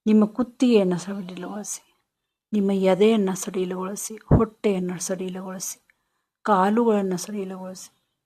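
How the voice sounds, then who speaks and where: A woman leading a guided meditation in short, soothing instructional phrases with pauses. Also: mid-range voice, rough recording.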